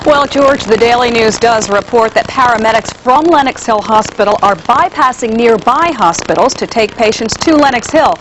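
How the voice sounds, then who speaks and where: Female newsroom reporter, higher pitched, scripted delivery, clearly noisy tape. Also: normal speed, hardly any pauses. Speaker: television news reporter.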